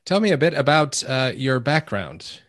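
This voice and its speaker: A man hosting a faith podcast, reading a measured, earnest intro and then chatting with a guest with some hesitant fillers. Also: mid-range voice, sing-song, fairly bright tone. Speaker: podcast host. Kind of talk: scripted intro then interview. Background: music.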